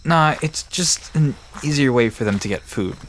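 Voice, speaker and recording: A man's voice: mid-range voice, animated, moderate pace, slight background noise, lightly acted. An actor playing a friendly, knowledgeable young man who explains folklore in an easygoing, reassuring way in an audio drama. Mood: relaxed, reassuring. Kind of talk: conversational audio drama acting. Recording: music and crickets behind voice.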